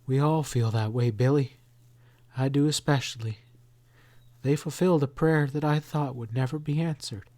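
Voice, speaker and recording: A soft-spoken, caring farm mother, as a male storyteller puts her on, murmuring low and soothing words. Her voice is dry and close, with no room around it. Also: normal speed, no echo, lightly acted. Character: gentle caring farm mother, comforting son.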